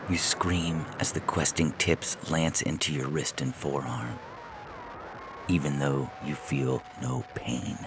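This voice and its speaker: A man reading a scripted short story as narrator, in a measured, deliberate way. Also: rumbling low, fairly even intonation, creaky, noisy background. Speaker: narrator and podcast host.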